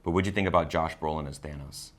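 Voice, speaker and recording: Brief: male review co-host, deep voice, casual, halting, agreeable conversational delivery. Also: steady tone. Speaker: co-host of a film review show. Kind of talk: casual unscripted discussion.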